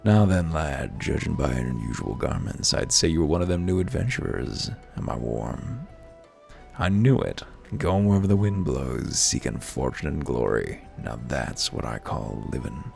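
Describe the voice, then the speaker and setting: A man's voice: very deep voice, lively intonation, normal speed, creaky, a little mellow, exaggerated voice. A man voicing a chatty, friendly travelling peddler from a fantasy game, worldly-wise and speaking in folksy dialect, with music behind him. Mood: curious, jovial. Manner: folksy dialect, colloquial phrasing. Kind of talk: voiced reading of fantasy game dialogue.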